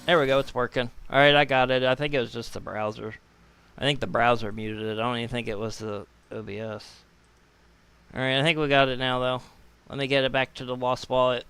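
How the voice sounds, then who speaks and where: A man's voice: mid-range voice, level tone, a little fast, fairly bright tone, smooth. A man hosting a live stream with casual commentary, informally muttering his way through things aloud.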